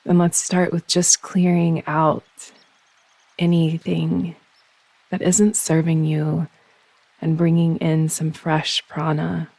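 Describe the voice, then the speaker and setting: A woman leads a guided meditation in a husky, very even voice. She gives soothing instructions in unhurried phrases with pauses between them. The recording is close and dry. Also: deep voice, dry recording, low-quality recording. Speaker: yoga nidra meditation guide.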